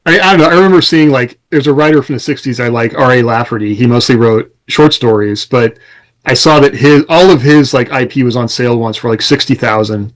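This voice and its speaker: A man's voice: mid-range voice, brisk, rough recording. An American man talking casually as a podcast host, explaining things conversationally with examples and quoted lines.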